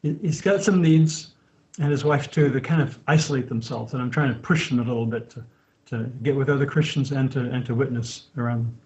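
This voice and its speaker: A man's voice: medium pitch, fairly quick. Missionary man in his sixties, lo-fi. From France. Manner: steady, with frequent restarts and fillers. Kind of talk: interview answers. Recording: dull lo-fi sound.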